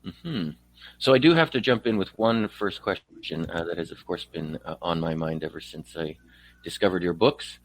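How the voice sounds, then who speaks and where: A man from Poland interviewing a guest on a book podcast in a conversational, somewhat halting way. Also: low-pitched, rough recording.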